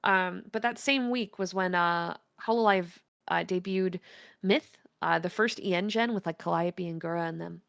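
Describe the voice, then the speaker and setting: A woman with a deep voice presents to a livestream audience. She talks in a chatty, candid way and often pauses with hesitant fillers. Her delivery stays plain and informal. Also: vocal fry, a bit bright. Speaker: guest presenter.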